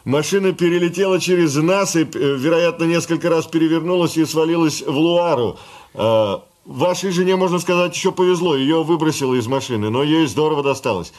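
An actor in a radio play playing a talkative official who breaks bad news matter-of-factly, with hesitant asides. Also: male voice, a little high, brisk, a little mellow, close to an everyday voice. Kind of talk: radio drama acting.

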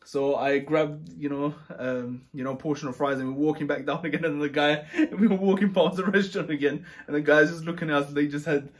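A man chatting casually about a trip, rambling and relaxed with many fillers and restarts. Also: fairly high pitch, fairly quick, few pauses. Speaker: travel vlogger. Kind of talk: casual chat.

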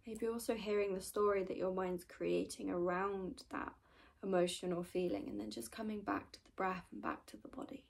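Soft-spoken woman guiding meditation, creaky voice. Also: medium pitch, fairly even intonation, moderate pace. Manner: gentle, soothing, often trailing into breathy whispers. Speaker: meditation guide.

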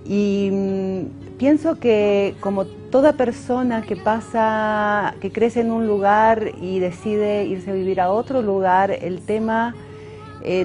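A warm, mellow-voiced Argentine woman talks through her work as a painter in a reflective interview. She often stops to think, filling the gaps with soft "eh" sounds. Faint music sits underneath her. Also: medium pitch. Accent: Argentine Spanish. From Mendoza, Argentina. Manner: thoughtful, pauses often with "eh" fillers.

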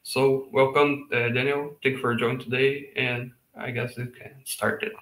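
A man in his thirties hosting a meetup on a video call, reading a speaker introduction haltingly. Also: mid-range voice, little pitch movement, fairly bright tone. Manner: reads haltingly with fillers. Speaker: meetup host. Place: home room. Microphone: headset. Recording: echoey room on a video call.

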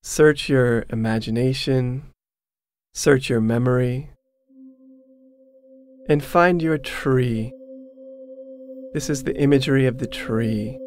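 A man narrating a guided meditation in a soothing, measured, instructional manner. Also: medium pitch, darkish tone. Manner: soothing, measured, instructional, repeats phrases slowly. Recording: prominent meditation music with singing bowls. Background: meditation music, singing bowl tones.

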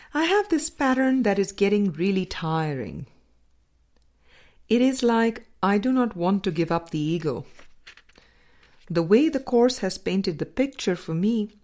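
A woman reading a spiritual audiobook as scripted narration, in measured, deliberate phrases with pauses. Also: medium pitch, darkish tone. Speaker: audiobook reader.

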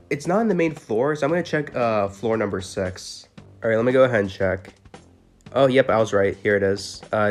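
A man's voice: on the low side, a little mellow. A man giving casual, slangy commentary over gameplay as a gaming video host. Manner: informal, slangy. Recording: music behind the voice.

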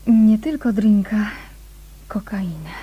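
Nervous, husky, confiding woman. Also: medium pitch, heavily acted. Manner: hesitant, breathy, confiding. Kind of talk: radio drama acting.

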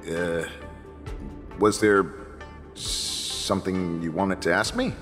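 A man's voice: deep voice, fairly even intonation, slow pace, fairly bright tone. A man playing a hesitant soldier on duty in a video game. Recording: long reverb, music behind. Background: music.

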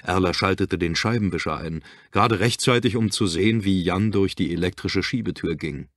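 Male narrator for suspense audiobook, deep register, literary reading, dry booth sound. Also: normal speed, fairly bright tone, no room sound. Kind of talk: scripted narration of a suspense thriller. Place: dry studio.

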